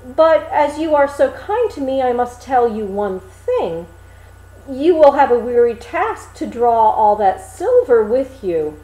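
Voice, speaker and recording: A woman's voice: sits high, very animated, measured pace, dark tone, roomy sound, poor audio. A woman voicing a cheerful, naive young man from a storybook, exclaiming in an eager, artless way. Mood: eager, obliging. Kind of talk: storybook character reading.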